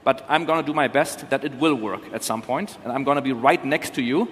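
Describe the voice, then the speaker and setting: A man's voice: mid-range voice, brisk, a bit bright, slight background noise. A man explaining things as a conference panel speaker, in a careful, qualified manner. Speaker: panel speaker, cryopreservation company representative. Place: large conference hall. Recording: reverberant hall sound.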